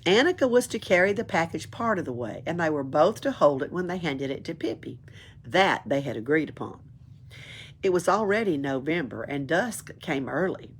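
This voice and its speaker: A woman reads a children's book aloud in an expressive storytelling way. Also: sits low, moderate pace, slight background noise. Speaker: library storyteller.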